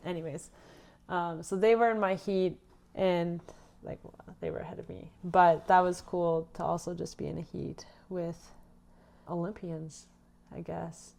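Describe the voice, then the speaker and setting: A woman's voice: on the low side, fairly even intonation, measured pace, gravelly, a little mellow. A young woman from Canada, a runner, chatting casually in a vlog and reading out her own race notes. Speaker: runner recapping her racing season.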